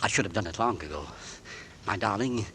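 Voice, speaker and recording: An actor playing an anxious, suspicious husband in a 1940s radio drama, melodramatic and fraught, swinging between hushed and frantic. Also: male voice, on the low side, animated, brisk, a little hiss or hum. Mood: grave, strained. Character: anxious suspicious husband, an Englishman, secretly dreading his poisoner wife. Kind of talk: 1940s radio drama acting, with first-person narration. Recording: vintage 1940s radio recording with music.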